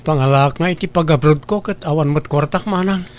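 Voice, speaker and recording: A man's voice: a little high, brisk, close to an everyday voice. A man playing a respectful, hesitant younger brother in a radio drama. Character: hesitant, dutiful unmarried younger brother, easily persuaded. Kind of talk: Ilocano radio drama acting.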